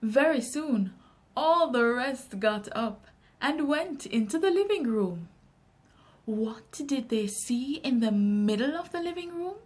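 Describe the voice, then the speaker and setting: Slow, high-voiced Jamaican woman storyteller. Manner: expressive, unhurried storytelling. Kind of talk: children's story read-aloud.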